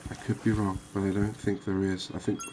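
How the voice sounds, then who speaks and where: A man giving calm, unhurried, soft-spoken ASMR gameplay commentary, musing aloud as he plays. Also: low-pitched, noisy background. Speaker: gaming video host.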